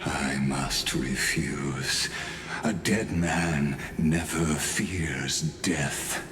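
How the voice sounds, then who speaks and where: An arrogant scholar villain from a game. His voice is deep and extremely slow, pompous and academic, ringing with echo over music. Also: exaggerated voice. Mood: coolly defiant. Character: arrogant, cerebral male scholar villain, fearless of death. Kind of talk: theatrical video game villain dialogue. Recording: echoey, with music behind.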